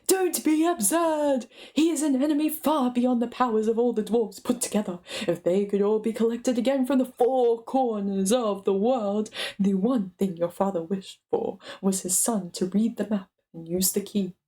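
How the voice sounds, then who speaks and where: A stern old wizard voiced by a woman speaks with weighty emphasis and little patience. The tone is dark and soft-edged, a grave storybook figure. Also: a little high, moderate pace, few pauses, natural voice. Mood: indignant, stern. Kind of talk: dramatic book reading.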